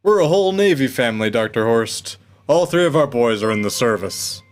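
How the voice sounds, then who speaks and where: A man's voice: mid-range voice, lively intonation, brisk, soft background music, natural voice. A man playing a proud, jovial old small-town father with a hearty, folksy manner in a sci-fi radio play.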